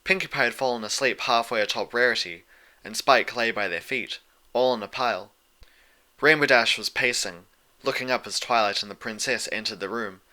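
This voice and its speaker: A man's voice: medium pitch, moderate pace, sharp tone. A man reading scripted fiction as an audiobook narrator.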